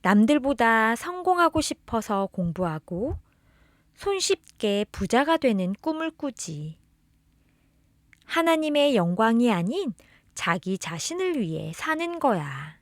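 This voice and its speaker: A woman's voice: on the high side, big pitch swings, takes it slowly, no echo, clean studio sound. A woman reading a scripted children's religious storybook, expressively addressing the listener as a beloved child. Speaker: storybook narrator reading children's catechism tales.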